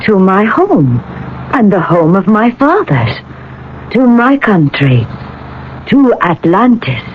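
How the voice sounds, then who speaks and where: A woman's voice: medium pitch, very animated, slow. An actress playing a solemn, mysterious young half-human woman of Atlantis, with formal, stilted phrasing, in vintage radio drama style. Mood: proud, grave. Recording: tinny, narrow, hissy old radio recording.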